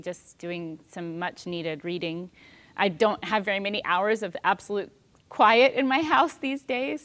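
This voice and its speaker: A woman in her thirties giving a calm, thoughtful spiritual talk on prayer, with soft pauses. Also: mid-range voice.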